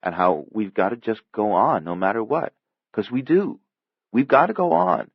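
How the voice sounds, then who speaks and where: A man's voice: lowish voice, animated, normal speed, husky, no echo, lo-fi sound, voice pushed up. A man voicing a bossy, protective girl of almost twelve, firm and earnest, in a storybook reading. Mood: earnest, consoling.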